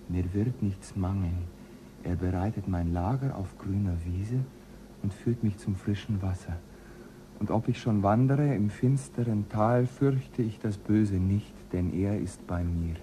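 A man's voice: sits low, background noise. A man playing a haunted, anxious astronaut. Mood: solemn. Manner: searching, increasingly frantic calling. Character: anxious male astronaut crew member, haunted. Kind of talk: radio play acting.

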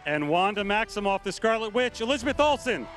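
Male stage host, high-pitched, calls out guests over pumping music in a large hall. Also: big pitch swings, brisk. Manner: announces names with rising, shouted emphasis. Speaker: studio head presenting a convention panel. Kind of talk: stage introductions to a cheering crowd. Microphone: handheld microphone.

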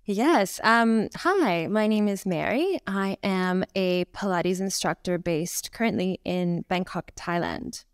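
A woman's voice: mid-range voice, slow, hardly any pauses, smooth, no echo, studio quality. Canadian woman chatting on a call. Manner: chatty, animated hand gestures, frequent fillers. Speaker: guest, Pilates instructor. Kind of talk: casual conversational interview. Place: home room, indoors.

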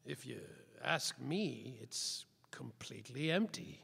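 An actor playing a world-weary, semi-sober, hapless private detective with melodramatic, wry delivery in a noir radio parody. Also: male voice, medium pitch, animated, takes it slowly, vocal fry, a bit bright, husky, full character voice. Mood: gentle. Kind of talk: hard-boiled noir narration, radio play parody.

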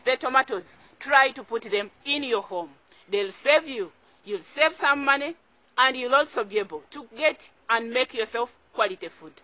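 A woman's voice: fairly high pitch, bright, forceful tone. A young Ugandan woman speaking informally to camera as a farming host, encouraging and emphatic, repeating phrases for emphasis. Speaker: farmer and video host. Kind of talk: informal instructional vlog to camera. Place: small outdoor home garden. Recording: dull, lo-fi camera audio.

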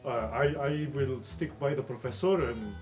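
A man voicing a gruff, lovestruck elephant warrior student in a tabletop game, stammering and blurting out words in clipped grammar. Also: mid-range voice, darkish tone, faint music behind, close to an everyday voice. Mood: awkward, earnest. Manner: halting, stammering, blurts names out.

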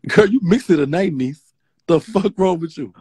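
A man's voice: on the high side, expressive, moderate pace, warm tone, breathy edge, no echo. A man hosting a late-night phone livestream, sassy and swearing. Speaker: livestream host. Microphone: phone front camera.

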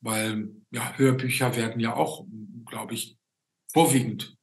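Male host, 60s. Slow, halting, conversational interview delivery over a roomy video call. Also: medium pitch, steady tone. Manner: halting, often tagging sentences with a questioning 'ne?'. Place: indoor room at home, on a video call.

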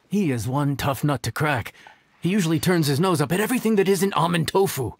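An actor playing a friendly, humble young inn chef in a video game, folksy and easily flustered. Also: male voice, fairly high pitch, slightly rough. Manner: affable, folksy, slightly nervous. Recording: game music behind the voice.

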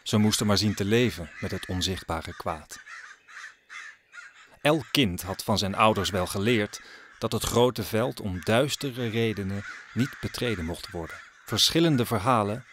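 Deep, slow-paced male storyteller. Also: a bit bright, a little hiss or hum. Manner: solemn, deliberate. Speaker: narrator. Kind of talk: scripted storytelling narration for audio drama.

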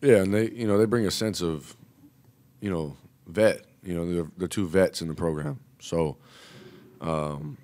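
A young man, a college basketball player, answering questions at a postgame press conference, relaxed and full of "you know". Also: low voice, unhurried. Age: young adult. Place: press room, seated at table. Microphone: table mic.

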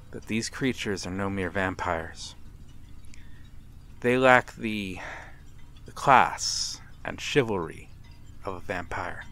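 A man playing a courtly, brooding vampire stranger, menacing and seductive, speaking softly and deliberately in an ASMR roleplay. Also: fairly low pitch. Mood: suave, amused. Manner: soft, deliberate, theatrical, with breathy whispers. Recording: steady ambient noise, harbor soundscape.

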